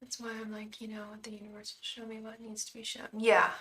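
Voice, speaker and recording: A young woman with a calming voice, reading tarot live for viewers in a chatty, reassuring way, full of restarts and "um"s. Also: a little high, fast talker, roomy sound. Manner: addresses viewers fondly as "love". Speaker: tarot reader and psychic.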